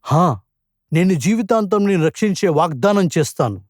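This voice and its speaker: A man playing a protective, resolute lion king in an animated jungle story. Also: high-pitched, normal speed, dry recording, clean studio sound. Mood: assured. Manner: resolute, commanding.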